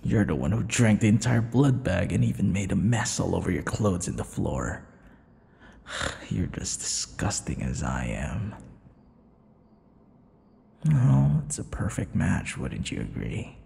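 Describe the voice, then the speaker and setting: A man playing a possessive, cocky, menacing vampire captor in an ASMR roleplay, taunting in a breathy voice that often drops into whispers. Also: low-pitched, big pitch swings, measured pace, pauses often, a little mellow, big-room sound, heavily acted. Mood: mocking, then teasing, gloating. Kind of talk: ASMR boyfriend audio roleplay.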